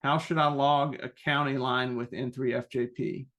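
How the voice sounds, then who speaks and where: A man presenting an informal webinar question-and-answer session, explaining things in a halting, self-correcting way. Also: medium pitch, little pitch movement, a bit bright.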